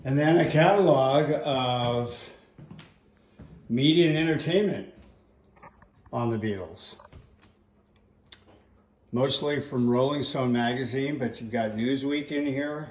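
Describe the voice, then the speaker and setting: A grandfather in his seventies, casually showing his home music memorabilia in a darkened room, fond and unhurried. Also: medium pitch, roomy sound. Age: 70s. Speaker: grandfather hosting a family music event. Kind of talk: casual home video tour.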